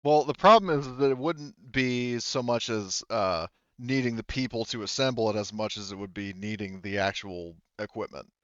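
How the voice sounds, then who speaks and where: A man's voice: on the low side, normal speed, few pauses, smooth, no echo, highs cut off. A man acting as game master, explaining the game world to players in a hesitant, explanatory way. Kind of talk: improvised tabletop game narration and explanation.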